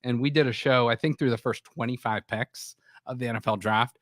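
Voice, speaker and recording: A man's voice: mid-range voice, steady tone, a little fast, a bit bright, no room sound, clean studio sound. A man hosting a sports talk show, with a scratchy voice from a sore throat, rambling with frequent restarts. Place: small studio.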